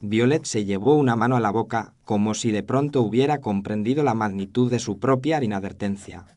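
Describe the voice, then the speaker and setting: A man giving a measured, literary first-person narration of a Victorian detective story. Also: fairly low pitch, darkish tone.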